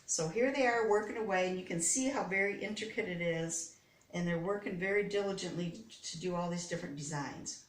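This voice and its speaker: A woman reading a children's story aloud, pausing to explain words and pictures as she goes. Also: fairly low pitch, slight background noise. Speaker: storybook reader. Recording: echoey room, distant microphone.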